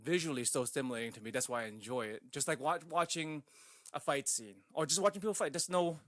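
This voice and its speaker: A man's voice: a little high, fast talker, a bit bright, no room sound, studio quality. A man co-hosting a podcast in casual, argumentative banter, swearing freely and pressing his points. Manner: profane. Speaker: podcast co-host.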